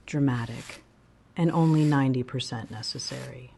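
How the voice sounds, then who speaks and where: A woman playing a gentle, caring wife with dry wit in an audio drama, soft-spoken and affectionate. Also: rumbling low, normal speed, vocal fry, a little hiss or hum. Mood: dry humor. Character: gentle, wryly humorous wife, caring and observant.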